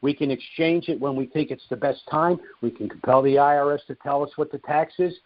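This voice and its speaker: A man hosting a question-and-answer call, explaining things deliberately and emphatically, repeating his key points. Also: a little high, steady tone. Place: conference call. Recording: muffled, narrow-band conference call audio.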